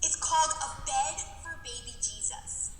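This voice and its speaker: High-voiced woman in an echoing hall. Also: measured pace. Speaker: presenter talking with Santa. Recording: distant, very echoey hall, music behind.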